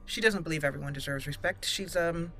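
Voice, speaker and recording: A woman doing let's play commentary on a fantasy game, slipping into formal, deliberate in-character speech. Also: deep voice, bright, forceful tone.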